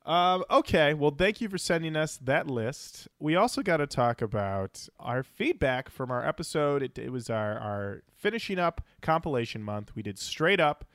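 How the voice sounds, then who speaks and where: A man's voice: on the high side, big pitch swings, moderate pace, hardly any pauses, fairly bright tone, no room sound, studio quality. A man co-hosting a podcast, chatting informally with wry asides and reading out listener comments. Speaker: podcast co-host. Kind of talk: casual podcast chat, reading out listener feedback.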